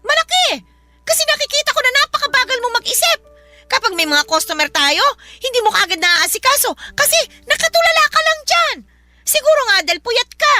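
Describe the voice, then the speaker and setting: A woman's voice: extremely high pitch, sing-song, brisk, pushed and bright, faint music behind, full character voice. An actress playing a stern, scornful middle-aged aunt who fiercely defends her son in a radio drama. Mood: dismissive scolding. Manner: scornful, accusing, dismissive. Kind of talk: radio drama dialogue in Tagalog.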